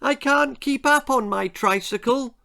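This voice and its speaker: A man's voice: very high-pitched, a little slow, creaky, pushed and bright, voice pushed up, full character voice. A man voicing an earnest, plaintive little British girl with plummy, old-fashioned manners in a children's storybook.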